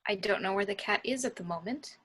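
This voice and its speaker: Slightly husky, insistent woman doctor acted out. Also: mid-range voice, a little fast, a bit bright. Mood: guarded, insistent. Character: tense, insistent female morgue doctor, exasperated. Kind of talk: amateur table read of a film script.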